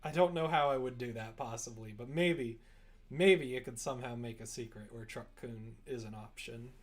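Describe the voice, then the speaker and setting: A man chatting casually on a livestream as he works at a computer, thinking out loud and joking as he goes. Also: medium pitch, moderate pace. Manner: musing aloud, joking, reads chat and drafts aloud. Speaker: streamer writing a visual novel. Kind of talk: casual livestream chat while writing. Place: indoors at a computer desk.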